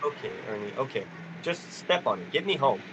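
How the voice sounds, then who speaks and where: A man's voice: medium pitch, expressive, a little fast, background noise, close to an everyday voice. A man acting a desperate, stubborn family man in an amateur radio play. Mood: confused.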